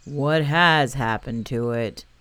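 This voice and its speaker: A woman voicing a gloomy old grey male donkey in a storybook reading, speaking in a slow, sighing, mournful way. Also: rumbling low, fairly bright tone, smooth, no echo, voice pushed down, full character voice.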